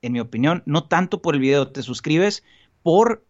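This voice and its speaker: A man talks at length in an earnest, rambling way, circling back with restarts and fillers. His voice sits slightly high, and the recording sounds dull and lo-fi. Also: expressive, normal speed. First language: Spanish. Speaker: guest. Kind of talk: casual podcast conversation.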